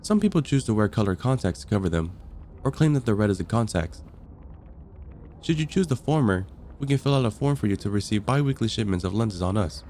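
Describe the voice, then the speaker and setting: A composed vampire counselor acted by a man speaks in a lowish voice, measured and clinical, like an official long in the job. It is an ASMR roleplay with matter-of-fact delivery, over noticeable background noise. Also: expressive, dark tone, natural voice. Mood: official, calm.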